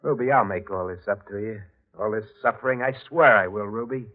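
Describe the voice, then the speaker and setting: A man's voice: lowish voice, brisk, close to an everyday voice. A man acting an obsessed, murderous narrator in a 1940s radio thriller, intense and dramatic. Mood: feverish, obsessed. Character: obsessed murderous man narrating his crimes, fanatical and menacing. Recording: muffled 1940s radio recording with loud music.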